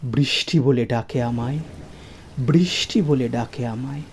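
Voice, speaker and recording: A reciter performing poetry in a theatrical, emotive way that moves between hushed and full-voiced delivery, with music behind. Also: medium pitch, a little slow, slight background noise. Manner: theatrical, swelling from hushed murmurs to loud emotive lines. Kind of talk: expressive dramatic poetry recitation over music.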